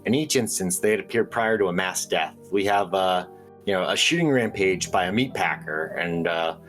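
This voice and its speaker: An actor playing an earnest small-town radio host who speaks in a conspiratorial, hesitant way with dry asides. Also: male voice, deep voice, moderate pace, fairly bright tone, soft background music. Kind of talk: audio drama radio call-in hosting.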